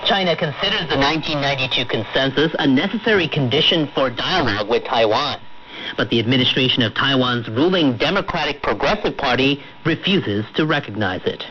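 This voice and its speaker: A man's voice: medium pitch, pushed and bright. A man reading scripted news as a radio anchor. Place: radio studio, heard through shortwave reception. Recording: muffled shortwave radio broadcast with static.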